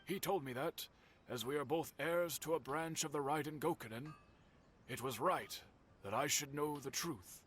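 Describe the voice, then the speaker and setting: An actor playing an older master swordsmith in a video game, courteous and grave, telling old history in a measured, formal way. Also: male voice, on the high side, a bit bright, slight background noise, lightly acted. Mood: solemn. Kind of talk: video game character acting.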